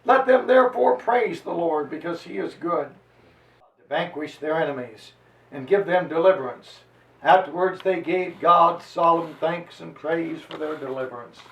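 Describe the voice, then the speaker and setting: A man giving a solemn, theatrical dramatized reading of a historical narrative, declaiming like a preacher with dramatic pauses and swells. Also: a little high, creaky, fairly bright tone. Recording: roomy echo, distant microphone.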